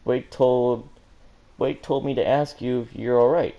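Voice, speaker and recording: A man's voice: medium pitch, steady tone, unhurried, muffled recording. A man reading a story aloud as an amateur, stumbling now and then over words and correcting himself. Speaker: fan fiction reader and commentator.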